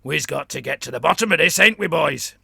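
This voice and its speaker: A man voicing a grinning, brutal male orc warboss who growls and gloats in rough slang. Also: on the high side, animated, a little fast, pushed and bright, no room sound, clean studio sound, pitched above the performer's own voice, full character voice. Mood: gloating.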